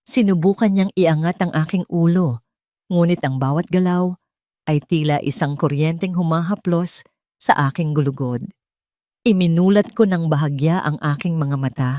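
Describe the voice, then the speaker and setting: A woman's voice: low-pitched, normal speed, mellow, no echo, clean studio sound. A woman reading a scripted first-person story in a solemn, melodramatic way. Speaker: story narrator.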